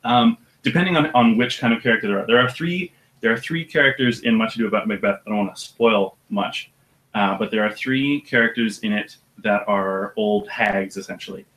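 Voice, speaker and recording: An audiobook narrator with a deep voice, talking about his work in a relaxed, thoughtful way with some hesitation. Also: brisk, low-quality recording. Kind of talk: casual interview chat.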